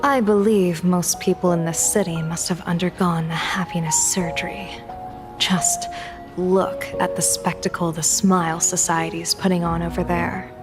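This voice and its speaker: A woman voice-acting a calm, observant companion in a video game. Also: on the low side, normal speed, close to an everyday voice. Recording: music behind the voice.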